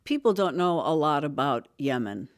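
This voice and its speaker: An interviewer asks a guest short, curious questions in a calm, middle-pitched voice. The talk is plain and conversational, with a dry joke slipped in now and then. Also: moderate pace.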